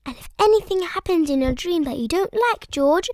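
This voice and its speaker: A woman's voice: extremely high pitch, animated, moderate pace, a little mellow, dry recording, clean studio sound, voice pushed up. A woman voicing a confident, bubbly little girl piglet in a children's storybook. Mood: reassuring, eager. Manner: bubbly, eager.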